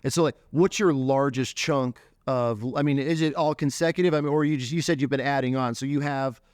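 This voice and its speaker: A man's voice: medium pitch, steady tone, fairly quick, clean tone, no echo, clean studio sound. An American man hosting a podcast, asking questions eagerly in loose, restarting sentences. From United States. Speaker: podcast host, hunting guide. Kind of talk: casual chat.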